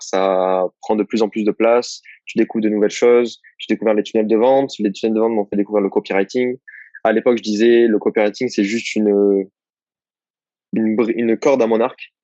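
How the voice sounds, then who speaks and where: A young man from southern France talks in a low, relaxed voice, rambling through his story with many fillers and restarts. The sound is dry and a little dull. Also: a little fast, no echo. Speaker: guest, copywriter. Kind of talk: casual interview chat.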